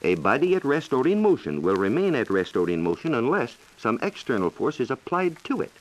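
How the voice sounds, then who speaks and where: An American man explaining science in a measured, lecturing way, as a reporter in a scripted 1940s educational radio dialogue. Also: medium pitch, very animated, poor audio. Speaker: science reporter and guest expert.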